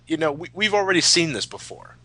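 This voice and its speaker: Guy doing casual fan commentary, bright voice, super opinionated, swears a lot, close dry sound. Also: on the high side, sing-song, fast, breathy edge, no echo. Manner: opinionated, profane, emphatic. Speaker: commentary host.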